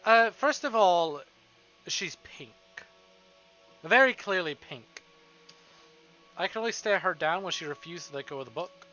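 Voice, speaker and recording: A man reading a visual novel aloud on a live stream, with casual, excitable, foul-mouthed reactions. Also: high voice, measured pace, long pauses, highs cut off, faint music behind.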